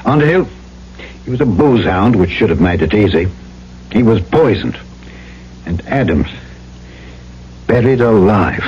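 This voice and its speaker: Tough plain-talking trader-narrator for vintage radio play; deep-ish register, colloquial, sure of himself, dull archive sound. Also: male voice, lively intonation, unhurried, long pauses, darkish tone, slight background noise. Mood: firm, reassuring. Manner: folksy, colloquial, self-assured. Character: tough, plain-spoken, defiant male island trader and storyteller. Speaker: actor, lead and narrator. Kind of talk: old-time radio drama acting and first-person narration. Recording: muffled old radio recording with music behind.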